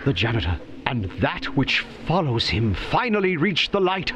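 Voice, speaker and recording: A man's voice: mid-range voice, very animated, moderate pace, noisy background, close to an everyday voice. A man playing a theatrical narrator in an audio drama, telling the story with suspense. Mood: hushed, dramatic.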